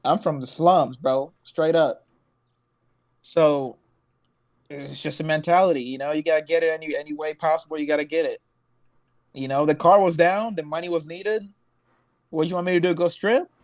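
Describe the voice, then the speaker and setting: A man's voice: a little high, fairly even intonation, moderate pace, pauses often, no echo. Slangy young man telling stories. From Tanzania. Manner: laid-back storytelling, laughs often, slangy. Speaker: podcast co-host. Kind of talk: casual chat.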